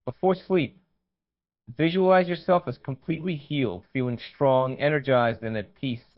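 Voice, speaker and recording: A man's voice: medium pitch, fairly even intonation, normal speed. Dull-sounding man narrating guided meditation.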